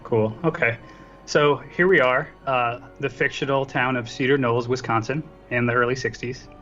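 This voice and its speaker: A man's voice: medium pitch, steady tone, normal speed, a bit bright, soft background music. A man chatting casually as a guest on a podcast. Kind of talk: casual chat. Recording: slightly dull lo-fi mic sound.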